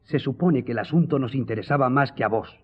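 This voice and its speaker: A man's voice: medium pitch, fast, husky, natural voice. A man playing an earnest, challenging newspaperman who speaks directly and insistently in a radio drama. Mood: stern, insistent. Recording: thin phone-like audio.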